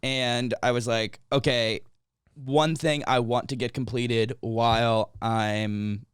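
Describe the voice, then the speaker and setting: A man's voice: medium pitch, smooth, no echo, clean studio sound. A young man hosting a podcast, talking earnestly in casual chat with some restarts. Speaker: podcast host.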